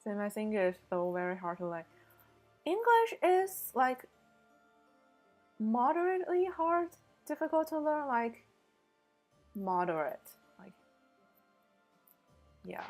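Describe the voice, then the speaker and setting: Slow, chatty woman. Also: on the high side, sing-song, a little mellow, soft background music. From Beijing, China. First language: Mandarin Chinese. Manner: chatty, leans on "like" as filler, laughs often. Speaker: game streamer chatting with viewers. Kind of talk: casual live-stream chat.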